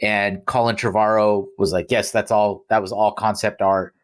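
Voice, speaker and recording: Low-pitched man hosting a casual podcast. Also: little pitch movement, moderate pace. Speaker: podcast host. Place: remote recording.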